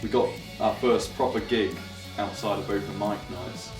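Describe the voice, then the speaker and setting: A man's voice: lowish voice, steady tone, normal speed. An eighteen-year-old man, telling stories casually in a documentary interview. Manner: casual, rambling storytelling. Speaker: band's lead singer and guitarist. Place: band practice room. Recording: echoey room, far from mic, music behind.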